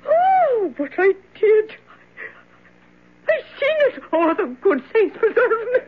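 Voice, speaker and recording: Superstitious old Irish hospital cleaner, garrulous, folksy, dull vintage radio sound. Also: female voice, very high voice, big pitch swings, slow, a little hiss or hum, exaggerated voice. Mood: frightened, awestruck. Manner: folksy, garrulous, muttering to herself. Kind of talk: old-time radio drama acting. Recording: muffled old radio drama recording.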